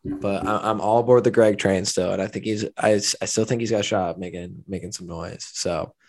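A young man co-hosting a casual sports podcast, his voice raspy and tired, easygoing in manner. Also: on the low side, fairly even intonation, fairly quick, darkish tone, slight background noise. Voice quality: slightly raspy. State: losing his voice. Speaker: podcast co-host.

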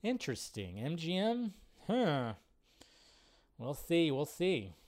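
A man's voice: a little high, sing-song, takes it slowly, a little mellow. A man hosting a live movie fan stream, chatting informally and ramblingly as he reads and answers viewer comments.

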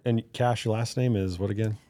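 A young man hosts a podcast in an easygoing, chatty way. His voice is low and mellow. The sound is dry and close. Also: a little fast, dry recording. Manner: relaxed, chatty, tells anecdotes. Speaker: podcast host. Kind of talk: casual interview chat.

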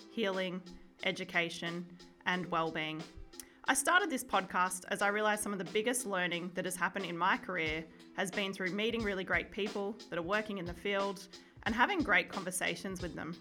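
A woman's voice: medium pitch, bright, forceful tone. A woman hosting a podcast, introducing the episode and interviewing her guest in an upbeat, welcoming way. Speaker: podcast host.

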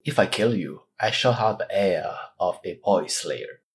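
A man's voice: low voice, animated, unhurried, a little hiss or hum. A man reading the part of a proud, wounded noble swordsman, in a formal and courtly manner. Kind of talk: audiobook character reading.

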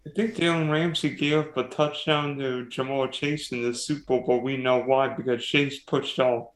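A man's voice: medium pitch, fairly even intonation, normal speed. A man hosting a casual sports podcast, teasing and quizzing his guest. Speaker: podcast host. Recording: roomy echo.

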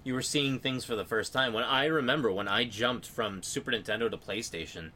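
Male co-host, mid-pitched bright voice, casual gaming chat, faint room noise behind. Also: brisk. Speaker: podcast host.

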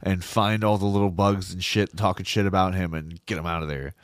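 A man's voice: low voice, fairly even intonation, a little fast, no echo. A man co-hosting a casual podcast, making dry sarcastic jokes. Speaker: podcast co-host.

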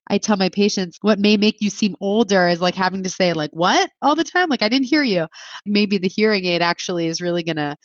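A woman's voice: on the low side, no room sound. Reflective woman in her thirties, dull dry audio. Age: 30s. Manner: thinks aloud, reflective, many filler likes. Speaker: clinician guest. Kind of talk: conversational interview answer.

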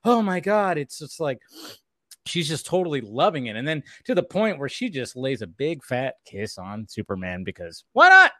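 A man with a slightly high voice talks through a recap as a co-host. His delivery is casual and rambling, with quoted lines dropped in conversationally. The sound is dry, with no room echo. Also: wildly swooping pitch, moderate pace, pushed and bright. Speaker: podcast co-host. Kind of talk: casual episode recap commentary.

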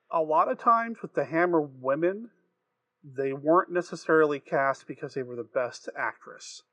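A man's voice: mid-range voice, fairly bright tone, no room sound, low-quality recording. A man taking part in a casual film discussion, explaining things in a knowledgeable, informal way.

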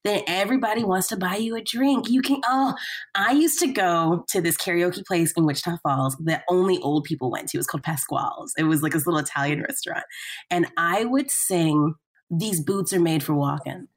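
A woman's voice: lowish voice, a little fast. A woman hosting a casual chat, playful and teasing as she asks questions and tells stories. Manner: playful, teasing, lively storyteller. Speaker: podcast host.

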